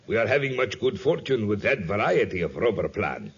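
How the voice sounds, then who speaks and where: An actor playing a proud, eager plantation owner who boasts in a 1950s radio serial. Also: male voice, lowish voice, big pitch swings, fairly quick, heavily acted. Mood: proud, obliging. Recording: muffled, raspy 1950s radio recording.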